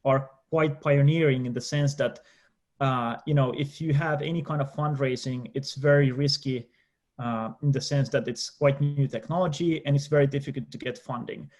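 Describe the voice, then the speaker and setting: A young man from Finland with a Finnish accent, speaking as a debate panelist on a video call, often pausing and restarting. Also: mid-range voice, steady tone, a little mellow. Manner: hesitant, many restarts and fillers.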